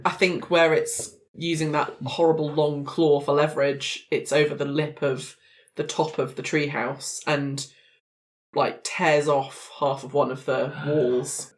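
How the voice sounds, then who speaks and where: A woman with a distinctly low voice plays a tabletop game. She improvises the action in a casual, chatty style. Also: level tone, measured pace.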